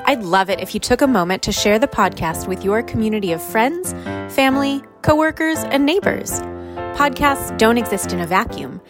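A woman reading a scripted podcast promo in an upbeat way. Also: on the high side. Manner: upbeat, spells out web address slowly. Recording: music bed behind voice.

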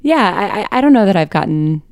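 A woman's voice: mid-range voice, big pitch swings, fairly quick, darkish tone, no room sound, clean studio sound. A woman talking casually as an interview guest, thoughtfully feeling her way through phrases. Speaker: interview guest, podcast writer. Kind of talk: casual conversation.

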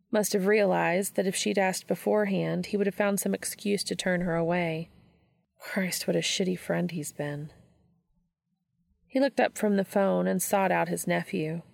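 A woman narrates a story in a slightly low voice with plenty of creak. The recording is dry, with very little room sound, and she reads from a script as an audiobook reader would. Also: dry recording. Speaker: audiobook reader of fan fiction.

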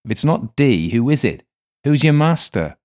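A man's voice: medium pitch, very animated, moderate pace, darkish tone, voice pushed up, close to an everyday voice. A man voicing a prickly, mocking fellow player who needles others with sarcastic remarks. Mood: needling. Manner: sardonic and needling.

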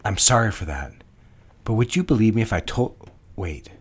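A woman voicing a domineering male business executive, speaking curtly and insistently in a deep, husky voice. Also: expressive, normal speed, exaggerated voice. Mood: commanding. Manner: curt and commanding.

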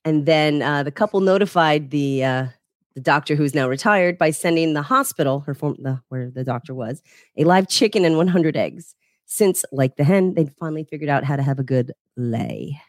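Low-voiced woman reading a story with giggles. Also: animated, normal speed, dry recording, studio quality. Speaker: podcast co-host. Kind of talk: reading a news story aloud with commentary.

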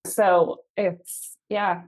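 A woman's voice: fairly low pitch, little pitch movement, extremely slow. A young adult woman from Ireland with an Irish accent, guest on a podcast, chatting and telling family stories with easy laughs and a level that rises and falls. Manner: chatty, drifts between loud and quiet, laughs easily. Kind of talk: casual storytelling conversation.

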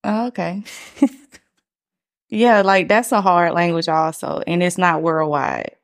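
A woman's voice: on the low side, lively intonation, slow, vocal fry. A woman, hosting a casual podcast chat, talking loosely with lots of fillers. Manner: chatty, lots of "like" fillers, laughs easily. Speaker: podcast host.

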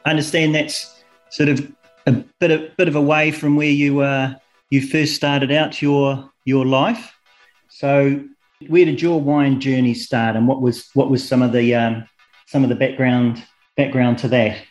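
A man's voice: medium pitch, little pitch movement, unhurried, soft background music, low-quality recording. A man hosting a podcast interview, friendly and prompting his guest with short backchannels. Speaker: podcast host.

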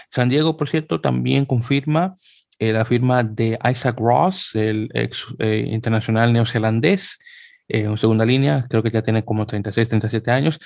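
A man's voice: medium pitch, creaky, darkish tone, no echo. A man hosting a sports podcast, talking casually through news and often stalling on fillers and restarts. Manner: hesitant, many fillers and restarts. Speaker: podcast host.

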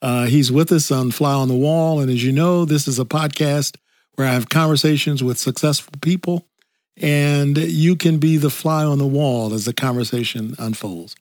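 A man's voice: on the high side, normal speed, a little mellow, no echo, studio quality. An older man hosting a podcast interview, enthusiastic and heavy on praise, often chuckling between remarks. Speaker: podcast host. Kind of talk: casual interview chat.

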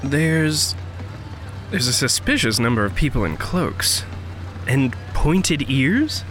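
A man's voice: medium pitch, sing-song, slow pace, fairly bright tone. A man playing a watchful, amiable sidekick who serves as the muscle in a modern audio drama. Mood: curious.

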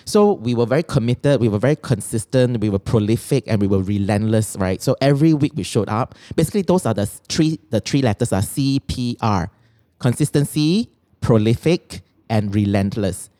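A man with a Singaporean accent co-hosting a podcast in casual chat, animated and switching between English and Mandarin. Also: medium pitch, normal speed. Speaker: podcast co-host.

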